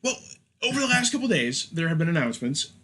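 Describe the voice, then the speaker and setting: A man's voice: on the high side, sing-song, fast, pushed and bright. A man hosting a casual film chat, with a wry, joking manner. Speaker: podcast host.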